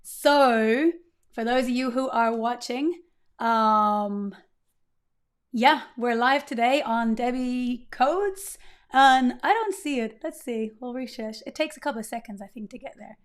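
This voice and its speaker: A woman in her thirties with a fairly high voice hosts a live coding stream from her desk. She talks in a chatty, upbeat way and greets her viewers often. Her voice is slightly strained while it recovers. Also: unhurried. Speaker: livestream coding host.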